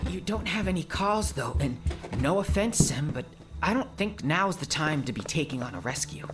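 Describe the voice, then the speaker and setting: A man's voice: fairly high pitch, big pitch swings, moderate pace, pitched above the performer's own voice. A man voicing a bewildered, doubtful male sidekick in an audiobook, with a questioning, incredulous manner. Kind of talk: audiobook character acting.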